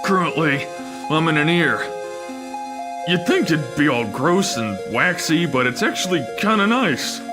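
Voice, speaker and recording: A man playing an easygoing, dopey young teammate in an audio drama, casual and deadpan. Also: on the high side, measured pace, music in the background. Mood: drowsy, content.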